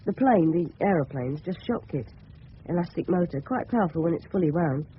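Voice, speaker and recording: A man's voice: a little high, expressive, fairly quick, natural voice. An earnest English teenage boy acted by a man in a radio play. He speaks politely and boyishly, with some self-consciousness. The sound is narrow and tinny, with light background noise. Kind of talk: radio drama acting with inner monologue. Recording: noisy tinny old radio recording.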